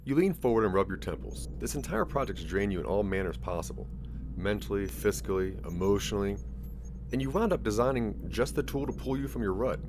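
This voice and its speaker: A man's voice: on the low side, expressive, brisk, background noise. A man narrating a scripted interactive audio story in the second person, with a theatrical storytelling delivery.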